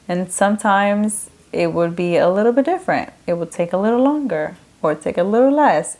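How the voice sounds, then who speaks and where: A woman's voice: fairly low pitch, a little mellow. A young woman from Ecuador hosting a podcast, talking in a candid, casual way. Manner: chatty, candid, with frequent fillers. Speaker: podcast host. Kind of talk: casual solo podcast talk.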